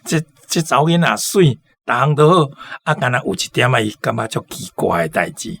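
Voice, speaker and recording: A man with a Taiwanese accent talking off the cuff about a story in a halting, rambling way. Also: medium pitch, big pitch swings, vocal fry, a bit bright. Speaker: literary discussion speaker. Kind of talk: unscripted talk about a story.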